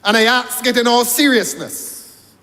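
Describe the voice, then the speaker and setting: A man's voice: extremely high pitch, unhurried, sharp tone, in a large hall. A Barbadian man giving a parliamentary debate speech, rhetorical, repeating key phrases for emphasis. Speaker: member of parliament. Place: parliament chamber.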